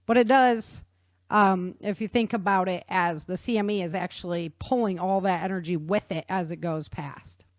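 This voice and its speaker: A woman's voice: fairly low pitch, fairly even intonation, moderate pace, no room sound, studio quality. A woman hosting a talk show, explaining science in a chatty, informal way and checking in with her listener.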